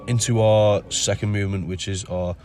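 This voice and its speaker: A man with a nasal voice from a cold, explaining his gym workout in a relaxed voice-over full of ums. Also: on the low side, normal speed, soft background music. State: has a cold. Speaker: bodybuilder narrating his workout. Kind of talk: casual voice-over commentary.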